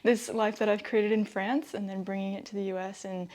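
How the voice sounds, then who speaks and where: An American woman in her thirties from the East Coast, chatting casually and excitedly to viewers as a vlogger. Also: mid-range voice, fairly quick. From Connecticut, US East Coast. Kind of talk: casual vlog talk to viewers.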